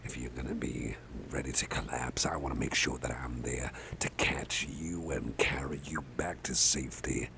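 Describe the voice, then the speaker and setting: A man playing a doting, soft-hearted older boss in an ASMR roleplay, speaking gently and reassuringly in a gravelly, breathy half-whisper. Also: rumbling low, fairly even intonation, background noise. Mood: soothing. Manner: slow, gentle, reassuring, rambling. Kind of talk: ASMR roleplay, softly spoken to the listener.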